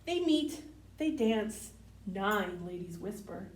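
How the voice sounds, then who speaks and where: A woman's voice: medium pitch, expressive, slow. A woman hosting a children's storytime, reading picture books aloud expressively and putting simple questions to young listeners. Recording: roomy, distant mic, faint background noise.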